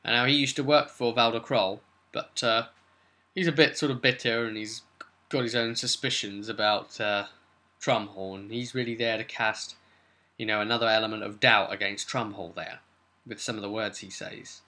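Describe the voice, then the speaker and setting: A man hosting as game master, recapping a tabletop roleplay session in a chatty, informal way with halting asides. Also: on the low side, fairly even intonation, bright, forceful tone. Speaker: game master, vidcast host.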